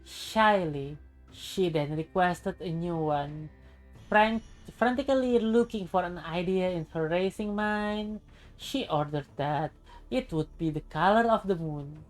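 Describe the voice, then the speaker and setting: A woman streamer narrating a visual novel aloud in a deliberate, expressive storybook style. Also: on the low side, rough recording. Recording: music behind the voice. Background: game music.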